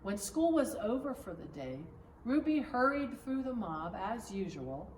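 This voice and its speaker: Female storyteller, higher voice, measured and expressive children's read-aloud; echoey recording with slight background noise. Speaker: pastor reading a story aloud. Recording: roomy echo, distant mic, background noise.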